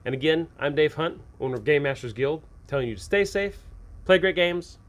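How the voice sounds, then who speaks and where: A man's voice: fairly high pitch, lively intonation, a little fast, very noisy. A man hosting an informal advice video for game masters, talking conversationally and emphatically and often repeating his points. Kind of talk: informal advice talk to viewers about running tabletop games.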